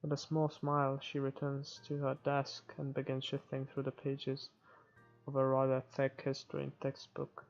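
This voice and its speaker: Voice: mid-range voice, monotone, moderate pace. A game player reading visual novel narration aloud with offhand comments, now and then stumbling over words. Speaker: video game playthrough narrator. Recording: muffled recording with music behind. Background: game music.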